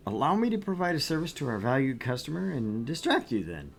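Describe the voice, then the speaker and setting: A man's voice: medium pitch, very animated, fairly quick, gravelly, faint music behind, pitched above the performer's own voice. A man voicing a wry young arcade worker in a visual novel, reading the lines with dry teasing. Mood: friendly. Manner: dry teasing, read aloud.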